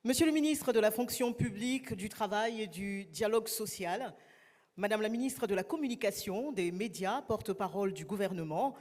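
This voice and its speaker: A woman's voice: medium pitch, a little mellow. Woman emceeing in a reverberant hall. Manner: formal, measured protocol greetings. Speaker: master of ceremonies. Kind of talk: formal ceremony address. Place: large conference hall.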